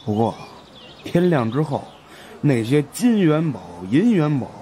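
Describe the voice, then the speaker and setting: A man's voice: a little high, a little mellow. A man voicing a fat, greedy, superstitious investigator who chats in a hesitant, grumbling, colloquial way in an audiobook. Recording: faint background noise.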